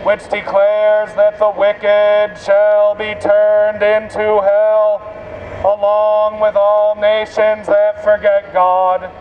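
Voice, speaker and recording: High-pitched, monotone man street preaching through bullhorn. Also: takes it slowly. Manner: solemn, declamatory, repetitive. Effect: amplified through a bullhorn. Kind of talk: open-air evangelistic sermon. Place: outdoors on a busy city street. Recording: dull bullhorn sound with street traffic noise. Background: street and vehicle noise.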